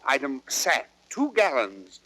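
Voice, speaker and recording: An actor playing a prince's wry attendant in old radio Shakespeare style. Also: male voice, medium pitch, huge pitch swings, takes it slowly, pushed and bright, heavily acted. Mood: dry amusement. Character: prince's wry attendant reading out a tavern bill. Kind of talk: 1930s radio Shakespeare acting.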